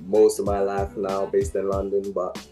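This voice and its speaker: A man's voice: low-pitched, monotone, normal speed, mellow, soft background music. A man from Zimbabwe co-hosting a sports podcast, easygoing and chatty. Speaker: podcast co-host. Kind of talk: casual chat.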